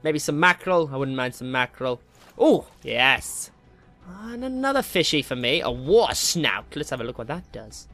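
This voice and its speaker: A man's voice: mid-range voice, very animated, moderate pace, a bit bright, soft background music. A young British man giving casual, rambling, jokey gameplay commentary, easily distracted as he goes. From Britain. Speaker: gaming video host.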